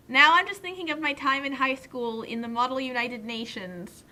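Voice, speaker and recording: A woman with a high, bright voice offers casual commentary. She takes her time, reacting with disbelief and often halting midway through a thought.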